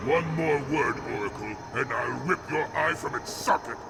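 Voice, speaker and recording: An actor playing a menacing, zealous alien warrior chieftain in a video game, with a gravelly, commanding voice. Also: male voice, medium pitch, normal speed, background noise, close to an everyday voice. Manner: threatening, commanding. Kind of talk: dramatic video game cutscene acting. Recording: loud music under the voice.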